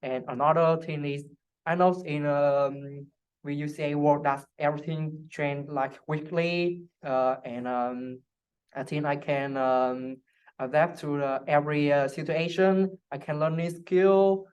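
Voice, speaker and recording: A young man who speaks English as a second language, answering interview questions casually and haltingly, searching for words. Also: fairly high pitch, fairly even intonation, smooth, dull audio. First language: not English. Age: young adult. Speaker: interview guest, international student.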